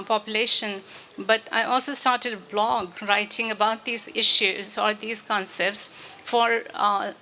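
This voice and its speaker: A woman's voice: a little high, bright, forceful tone, low-quality recording. Reflective woman panelist with faint background hum. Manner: reflective, many fillers. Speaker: panelist, author and translator. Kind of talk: festival panel talk.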